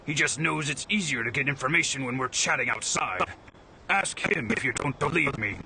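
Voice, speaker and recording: An actor playing a proud, grumpy seventy-year-old former merchant with a creaky, grumbling delivery in a video game. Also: male voice, medium pitch, moderate pace, noisy background, close to an everyday voice. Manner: grumbling, curmudgeonly. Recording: game music under the voice.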